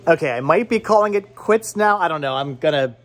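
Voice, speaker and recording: A man in his thirties hosting a casual, chatty vlog in an excitable way, often repeating his words, recorded on a handheld camera in a shop. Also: a little high, brisk. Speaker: vlog host. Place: indoor cluttered video and game shop. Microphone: handheld camera at arm's length.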